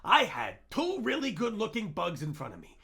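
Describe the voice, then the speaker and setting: A man voicing a big-hearted, dim, food-obsessed warthog sidekick who talks with naive, excitable earnestness in an audio roleplay. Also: high-pitched, normal speed, vocal fry, breathy edge, full character voice. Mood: earnest. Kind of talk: comforting character roleplay with impressions.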